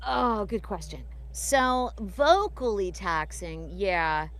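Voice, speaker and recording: A woman's voice: medium pitch, expressive, takes it slowly. A woman voice actress answering fan questions in a casual live Q&A, chatty and full of fillers. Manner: chatty, warm storytelling with fillers. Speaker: voice actress guest.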